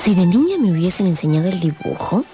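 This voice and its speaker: A woman's voice: fairly low pitch, sing-song, quick pace, music in the background. An actress playing a passionate, dreamy young woman with an earnest, independent streak in a radio drama. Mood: earnest, resolute.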